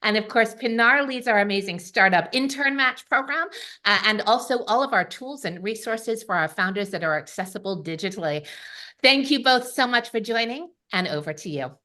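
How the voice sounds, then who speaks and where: An enthusiastic woman host opens a webinar session. Her voice is bright and forceful. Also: mid-range voice, expressive, normal speed. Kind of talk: webinar introduction.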